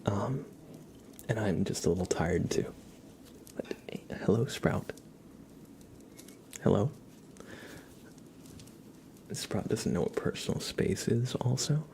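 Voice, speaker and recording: Low, creaky-voiced man softly chatting. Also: animated, takes it slowly, pauses often. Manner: chatty, rambling, soft-spoken with whispered stretches. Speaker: livestream host. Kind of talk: casual live ASMR chat with tarot card readings. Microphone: headset. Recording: clear background noise.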